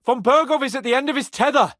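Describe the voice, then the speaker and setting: A man's voice: very high-pitched, quick pace, fairly bright tone, dry recording, clean studio sound. A man playing a bold, shrewd medieval man-at-arms in a video game, assertive and wry. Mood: confident, briefing.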